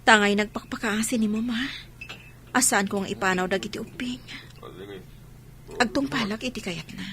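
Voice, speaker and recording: Babae na gumaganap na nasasaktang ina, maingay ang rekording. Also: female voice, medium pitch, normal speed, pauses often, a bit bright, slight background noise, lightly acted. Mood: sorrowful. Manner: pleading, sorrowful. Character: heartbroken, gentle young mother leaving her marital home. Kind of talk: Ilocano audio drama acting.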